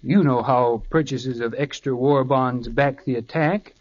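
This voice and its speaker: A man's voice: mid-range voice, measured pace. A man, an actor, speaking as himself in an earnest, persuasive scripted radio appeal. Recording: dull 1940s radio recording.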